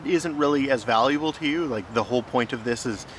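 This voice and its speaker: A man's voice: mid-range voice, fairly quick, slight background noise. A man hosting a casual vlog, explaining things in a conversational way. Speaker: host, filmmaker.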